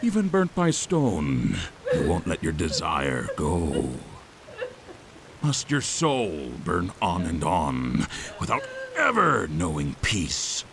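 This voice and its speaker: An actor playing a mocking, theatrical mad god in a fantasy video game. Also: male voice, low voice, huge pitch swings, takes it slowly, gravelly, background noise, heavily acted. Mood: scornful. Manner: theatrical, swooping between taunts and shouts.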